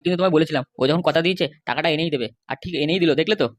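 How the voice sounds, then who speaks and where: A man's voice: fairly high pitch, rapid-fire, slight background noise, natural voice. A man voicing a henpecked, pleading middle-aged cartoon husband with a West Bengal accent. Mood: wheedling. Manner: wheedling, grumbling. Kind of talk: comic cartoon dialogue.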